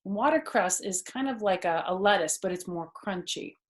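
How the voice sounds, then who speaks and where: A middle-aged woman doing a read-aloud storytime for children, explaining things simply in a deliberate way with chatty asides, recorded in a living room. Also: medium pitch, animated. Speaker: storyteller reading picture books to children. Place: small furnished living room, indoors.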